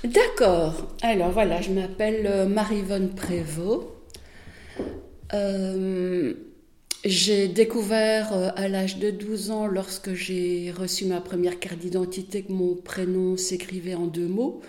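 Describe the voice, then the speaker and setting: A woman speaks slowly and thoughtfully, her voice low and mellow. She pauses often with little fillers as she recounts her life. The sound carries a noticeable room echo. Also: fairly even intonation. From Belgium, Wallonia. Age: 60s. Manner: measured, hesitant with frequent fillers. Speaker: interviewee, artist. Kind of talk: reflective personal testimony.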